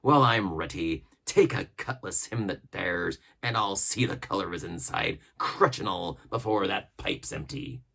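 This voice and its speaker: Guy doing a fierce old pirate cook from a storybook, deep husky growl, swaggering and bossy. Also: unhurried, few pauses, fairly bright tone, heavily acted. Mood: furious challenge. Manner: menacing, swaggering, uses old nautical slang. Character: fierce, commanding old one-legged pirate ship's cook. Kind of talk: storybook character voice.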